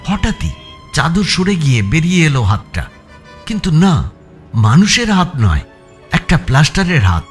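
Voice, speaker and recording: Animated storytelling amateur sleuth. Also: male voice, a little high, normal speed, pauses often, soft background music. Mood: tense realization. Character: anxious self-reproaching male amateur sleuth telling his story. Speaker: first-person narrator. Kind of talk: dramatic scripted audio story narration.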